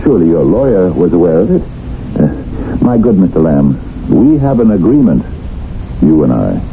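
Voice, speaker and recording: A crafty businessman speaks low with formal, condescending politeness. His delivery is very animated and smug. The vintage radio drama sound is narrow and phone-like, with faint hiss. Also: normal speed. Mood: smug, polite. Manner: smooth formal phrasing, condescending. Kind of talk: 1970s radio drama acting.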